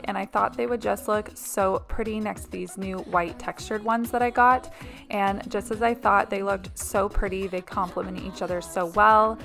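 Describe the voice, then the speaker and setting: A woman's voice: mid-range voice, creaky, fairly bright tone. A young woman giving a chatty, upbeat voice-over for a home vlog, with filler words like "um" and "like.". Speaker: home vlogger. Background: faint background music.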